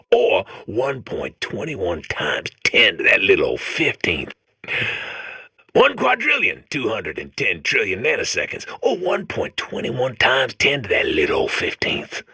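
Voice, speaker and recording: A man's voice: mid-range voice, huge pitch swings, creaky, sharp tone, slightly rough, no room sound, studio quality, full character voice. A man playing a giddy, sentimental older robot worker counting down happily, folksy and gleeful, in a comedic video game. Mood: dreamy, giddy. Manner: folksy, gleeful, drawn-out exclamations.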